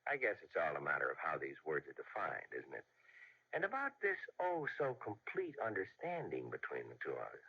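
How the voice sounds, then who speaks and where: A man's voice: deep voice, huge pitch swings, a little fast, husky, heavily acted. An actor playing a cynical, persistent male newspaper reporter in an old-time radio drama, sardonic and teasing. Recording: dull vintage radio drama recording.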